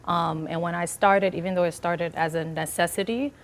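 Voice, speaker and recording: A woman's voice: on the low side, fairly even intonation, moderate pace, creaky. A woman chef from Liberia, answering interview questions in an earnest, chatty way with many fillers. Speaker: chef and business owner, guest.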